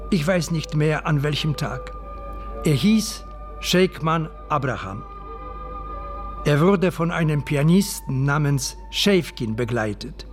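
Man acting a slow, formal, earnest letter writer. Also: fairly high pitch, close to an everyday voice. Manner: formal, polite, deliberate. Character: earnest, formal male listener writing petition letters to radio. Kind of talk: letter read aloud in a radio play.

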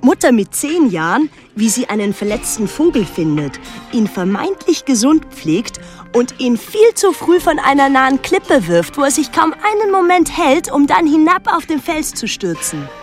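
An actress playing a reflective daughter who narrates a literary radio drama in a measured, introspective way. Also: female voice, high-pitched, big pitch swings, faint music behind. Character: reflective daughter recalling her reclusive painter mother.

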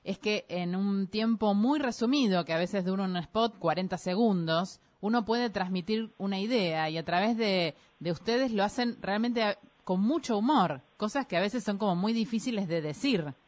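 A woman's voice: medium pitch, moderate pace, hardly any pauses, darkish tone. Appreciative female radio co-host. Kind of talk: radio interview.

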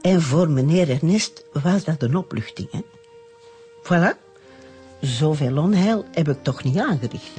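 An actress playing an old nun in a radio drama, telling stories in a gossipy, unrepentant and contented way. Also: female voice, sits low, lively intonation, unhurried, mellow, faint music behind. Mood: reminiscing, self-satisfied. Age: older.

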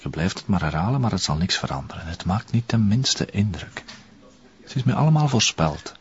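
An actor playing a defiant, deluded young Flemish son who believes himself chosen, speaking solemnly in a TV crime drama. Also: male voice, low-pitched, big pitch swings, fairly quick, muffled recording. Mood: stubborn, fervent. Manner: solemn, stubborn, formal phrasing.

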